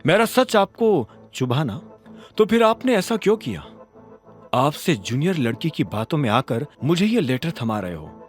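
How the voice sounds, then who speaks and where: A man's voice: fairly high pitch, sing-song, a little slow, faint music behind. A man voicing a defiant, self-assured young employee in an audio drama, sardonic and confrontational. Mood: accusing. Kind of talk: Hindi audio drama acting.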